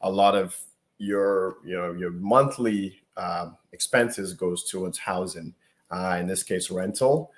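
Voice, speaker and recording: A man with a fairly low voice talks through a reaction video with his co-host. He speaks in a loose, conversational way, stopping and restarting phrases as he works out his point. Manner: halting, repeats words while thinking. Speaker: realtor co-host. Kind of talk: casual reaction chat.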